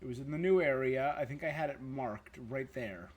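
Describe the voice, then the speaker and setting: A man's voice: medium pitch, normal speed, slightly rough. A man livestreaming a video game with casual commentary.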